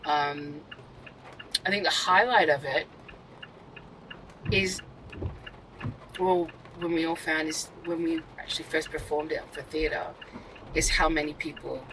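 A young woman, an actor and writer, talking candidly in a casual interview and telling animated stories with many fillers and restarts. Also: deep voice, measured pace, pauses often, a little hiss or hum, rough recording. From Australia.

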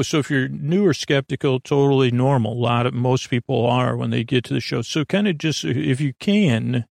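A man hosting a bedtime podcast in a creaky, soothing voice, meandering through halting tangents and interrupting himself. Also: mid-range voice, no room sound, clean studio sound. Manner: lulling, soothing. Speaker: podcast host. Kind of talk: rambling bedtime sleep-podcast monologue.

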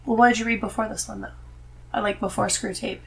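A woman's voice: mid-range voice, steady tone, fast talker, vocal fry, a little hiss or hum, rough recording. A woman guest on a podcast, chatting casually in loose, informal phrasing. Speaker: podcast guest, host's wife. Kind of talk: casual conversation about reading.

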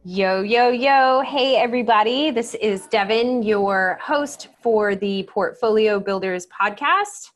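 A woman's voice: mid-range voice, slow, few pauses, soft background music. A woman hosting a podcast, talking in an informal, upbeat, conversational way. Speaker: podcast host. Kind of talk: casual conversational interviewing.

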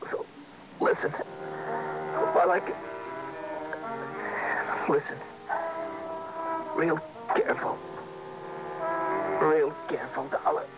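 A man's voice: fairly high pitch, huge pitch swings, slow pace, long pauses, loud music behind the voice, exaggerated voice. An actor in an old radio drama playing a dying, desperate prisoner who is out of breath, stammering and repeating his words. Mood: weak, remorseful. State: out of breath, gasping and faltering. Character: dying male prisoner, a guilt-ridden safe robber, desperate. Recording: muffled vintage radio recording, faint hiss.

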